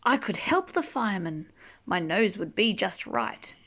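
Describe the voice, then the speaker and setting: A woman reading a rhyming children's book aloud expressively, drawing out key words and chatting gently to young listeners. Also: medium pitch. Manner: animated, drawn-out key words, gentle questioning asides. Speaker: storybook reader reading to children.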